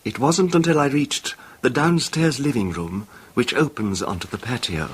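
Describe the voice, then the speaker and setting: An actor playing a nervy, anguished rich husband making a halting, stammering confession in a 1970s radio horror drama. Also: male voice, mid-range voice, breathy edge, close to an everyday voice. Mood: heartsick, grave. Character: anguished, nervy, wealthy husband recalling his wife's betrayal. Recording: noisy old 1970s radio recording.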